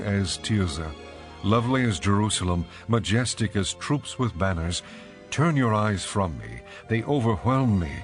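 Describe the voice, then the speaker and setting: A man playing an adoring lover in a dramatized scripture reading, speaking in measured, reverent, poetic phrases. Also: lowish voice, lightly acted. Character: adoring male lover praising his bride, ancient royal poetry.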